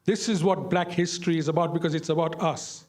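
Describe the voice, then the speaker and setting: A man's voice: on the high side, steady tone, a little fast, darkish tone. An older man from Zimbabwe recounting his years as a councillor and activist in an emphatic, plain-spoken way, repeating phrases for effect. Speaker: former local councillor and activist. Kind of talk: impassioned reminiscence to an audience.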